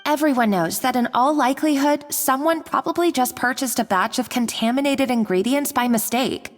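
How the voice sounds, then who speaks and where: A woman's voice: high-pitched, normal speed, in a large hall, lightly acted. An actress playing a loyal, earnest female restaurant worker in a video game, speaking insistently. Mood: earnest, aggrieved.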